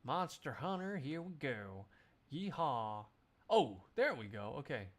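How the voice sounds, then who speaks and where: A man's voice: medium pitch, sing-song, slow pace. A man livestreaming, chatting casually to his viewers in a jokey, rambling, exclamatory way. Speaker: live streamer.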